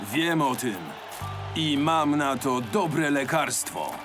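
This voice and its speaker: Lively, confident team captain. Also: male voice, a little high, slow, over music. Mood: assured. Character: confident, rallying male football team captain. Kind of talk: cartoon dub acting.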